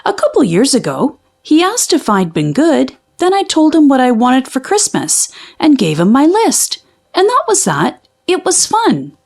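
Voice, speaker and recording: A woman's voice: sits high, huge pitch swings, a little slow, vocal fry, darkish tone, pitched above the performer's own voice, full character voice. A woman voicing a confident, sensible young boy bear cub in a storybook. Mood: casual, assured.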